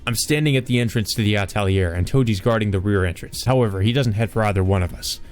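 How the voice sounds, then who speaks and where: A man's voice: fairly low pitch, quick pace, a little mellow, faint music behind. A man reading a visual novel aloud as a dramatic narrator for a let's play.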